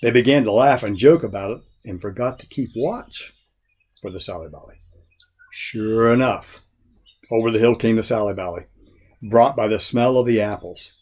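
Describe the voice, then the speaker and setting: A man reading a children's folk tale expressively, in a folksy, deliberate storyteller's manner. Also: on the low side, a little mellow. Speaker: story reader.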